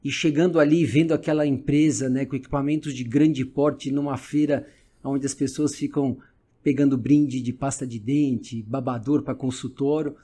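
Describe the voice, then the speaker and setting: A man's voice: mid-range voice, steady tone, normal speed, warm tone, breathy edge. A middle-aged man hosting a podcast, speaking reflectively with many hesitations and fillers. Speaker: podcast host, dentist. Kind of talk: casual interview conversation.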